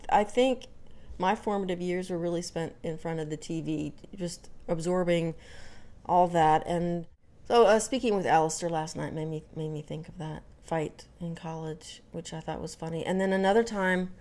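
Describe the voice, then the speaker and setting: A young woman from Dallas, Texas chats to camera in a relaxed vlog, with no regional twang in her speech. Her voice sits a bit low, and she rambles along with frequent ums, uhs and self-corrections. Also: moderate pace. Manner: chatty, many fillers and restarts. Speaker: vlogger. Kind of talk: casual storytelling to camera.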